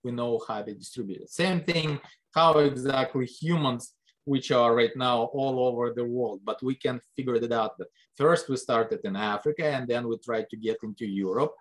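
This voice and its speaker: A man giving a biology lecture, talking conversationally and putting rhetorical questions to the class. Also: moderate pace. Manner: lively pitch jumps for emphasis. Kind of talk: recorded classroom lecture over slides.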